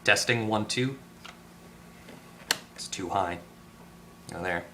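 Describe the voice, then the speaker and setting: A man giving a casual hands-on electronics repair tutorial, tentative and thinking out loud as he goes. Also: fairly low pitch, slow pace, noisy background. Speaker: repair video host. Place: at a workbench indoors.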